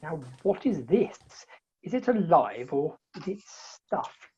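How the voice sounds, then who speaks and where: A woman playing an indignant, haughty, bewildered gentleman in an amateur comic radio play over a muffled video call. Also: deep voice, very animated, takes it slowly, a little hiss or hum, full character voice. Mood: indignant, puzzled.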